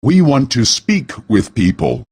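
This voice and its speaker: A man giving slow, measured translations of phrases in a language lesson. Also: medium pitch, huge pitch swings, a little mellow, breathy edge, no echo. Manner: deliberate, measured delivery. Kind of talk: slow spoken translations for learners.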